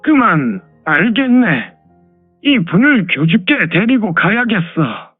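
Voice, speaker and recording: A dignified old elder of a martial demonic cult, acted by a man, speaks with old-fashioned authority in a high, unhurried voice. The sound is thin and phone-like, with music underneath, as in an audio drama. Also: sing-song, slightly rough, no echo, heavily acted. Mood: grave, measured. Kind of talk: Korean martial-arts audio drama acting.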